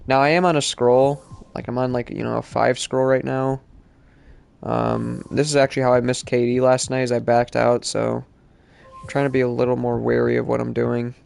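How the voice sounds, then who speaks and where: A man's voice: mid-range voice, level tone, normal speed, gravelly, darkish tone. A male streamer chatting casually while playing, sounding congested from allergies and rambling with lots of fillers. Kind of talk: live-stream gaming chat.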